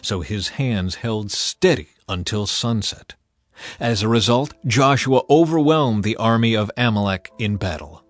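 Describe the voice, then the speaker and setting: A man narrating scripture from a script in a measured, solemn, dramatized style. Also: on the low side, lively intonation. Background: music.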